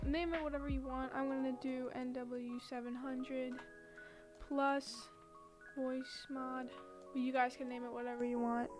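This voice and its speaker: A young woman hosting a casual software tutorial, explaining things informally step by step. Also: high-pitched, level tone, a little slow, over music. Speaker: tutorial host.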